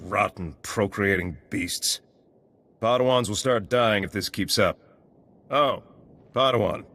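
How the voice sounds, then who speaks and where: Gruff male ruins guard for a fantasy game, lowish voice, terse, dutiful, plain-spoken. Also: a little slow, a bit bright. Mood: grim. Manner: terse, clipped sentences. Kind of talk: video game dialogue acting.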